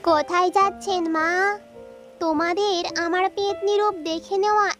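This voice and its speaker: A woman's voice: extremely high pitch, steady tone, moderate pace, a bit bright, soft background music. A woman voicing a possessive, menacing ghost wife in a children's cartoon, speaking dramatically.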